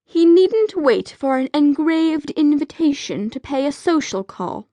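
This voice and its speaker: A woman playing a sharp-tongued, wry teenage girl in a full-cast audiobook, dry and sardonic. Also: sits high, big pitch swings, measured pace, dry recording. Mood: dismissive.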